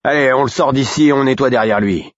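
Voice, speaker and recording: A man's voice: medium pitch, fast, no room sound, dull audio, voice pushed up, close to an everyday voice. A man playing a mocking, hard-bitten male prison guard in an audiobook story. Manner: mocking, sardonic.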